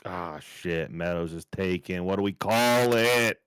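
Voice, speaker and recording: A man's voice: low-pitched, a little slow, a bit bright, slight background noise. A man playing a wry, exasperated sports-show co-host in a scripted podcast table read, chatting casually with some swearing. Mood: mocking.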